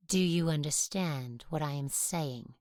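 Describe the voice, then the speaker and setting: Stern convent sister for audio drama, female actor. Restrained authority, solemn, very slow delivery, dry recording. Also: low-pitched, darkish tone, no room sound. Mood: solemn, measured. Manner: measured, solemn.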